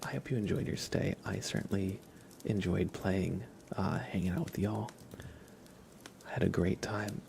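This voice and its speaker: A man streaming ASMR in a soft, often whispery voice, chatting in an unhurried, low-key way with pauses. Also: creaky, slight background noise. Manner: hushed, unhurried, relaxed delivery with pauses. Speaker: ASMR livestreamer.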